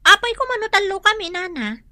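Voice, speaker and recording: A woman's voice: very high voice, very animated, a little fast, sharp tone, dry recording, clean studio sound, heavily acted. A woman acting a flippant young daughter who talks back in an Ilocano radio drama. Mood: evasive.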